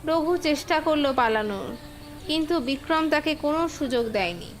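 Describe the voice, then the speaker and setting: A woman's voice: high voice, lively intonation, moderate pace, faint music behind. A woman giving scripted narration for a cartoon fable as the storyteller.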